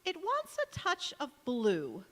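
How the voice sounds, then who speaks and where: A woman's voice: high voice, wildly swooping pitch, slow pace, fairly bright tone, exaggerated voice. A woman playing a bitter, disapproving female cousin with a tart, cutting delivery in a stage radio play. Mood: scornful. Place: large hall, live stage performance. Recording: echoey hall with long reverb.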